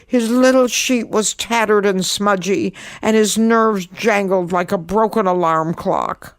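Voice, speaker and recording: A woman narrating a children's story in an expressive, theatrical and emphatic way. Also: mid-range voice, a little slow, no room sound, clean studio sound. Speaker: storyteller, guest podcast host.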